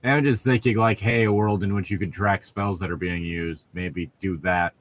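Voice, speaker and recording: A man's voice: low-pitched, fairly even intonation, normal speed. A man co-hosting a comedic read-aloud, bantering casually with sarcastic, joking, profane commentary. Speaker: co-host reading and mocking fan fiction.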